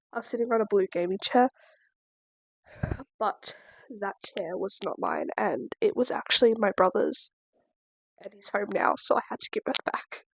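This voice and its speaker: Chatty young Australian woman, higher voice. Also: a little mellow, dry recording, low-quality recording. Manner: chatty, rambling, lots of likes and ums. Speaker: crochet vlogger. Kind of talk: casual Q&A vlog. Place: at home, seated in a chair.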